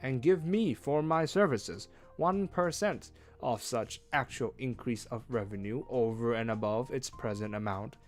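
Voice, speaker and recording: A man's voice: mid-range voice, unhurried, few pauses, natural voice. A man voicing a grandiose storybook bluffer posing as a mighty sorcerer, imperious and declamatory. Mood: imperious bargaining. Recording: music behind.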